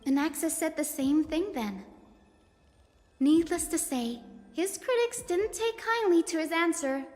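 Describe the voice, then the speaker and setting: An actress playing a gentle, earnest young scholar in a video game, telling stories in a measured, expressive way. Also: female voice, very high voice. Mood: earnest storytelling. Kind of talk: scripted game dialogue, storytelling narration. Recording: long reverb, music behind. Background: background music.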